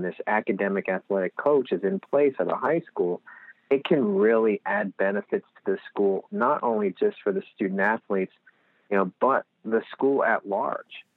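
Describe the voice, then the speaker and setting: A man's voice: fairly low pitch, no room sound. A man with a Lehigh Valley Pennsylvania accent, a guest on a podcast interview, explaining his work earnestly with many "you know" fillers. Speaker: guest, nonprofit executive director. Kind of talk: interview answers. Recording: tinny phone-line audio.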